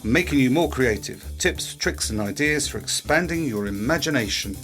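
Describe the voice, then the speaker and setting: A man's voice: medium pitch, animated, moderate pace, a bit bright, soft background music. A British man hosting a podcast, delivering a scripted monologue with practical tips. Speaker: podcast host.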